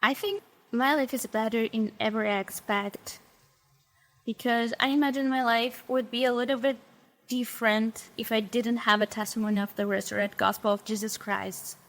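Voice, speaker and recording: A woman's voice: a little high, steady tone, moderate pace, a bit bright. A young woman from Brazil with a Brazilian Portuguese accent, speaking English as a second language and sharing her faith testimony in an interview, choosing her words carefully. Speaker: interview guest.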